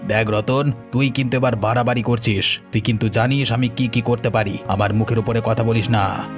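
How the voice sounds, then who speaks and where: A man plays a village bully in a cartoon. The voice is medium in pitch, commanding and menacing, with faint music underneath. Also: steady tone, fairly quick, natural voice. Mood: threatening. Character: bullying, threatening village scoundrel. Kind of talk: Bengali cartoon story dialogue.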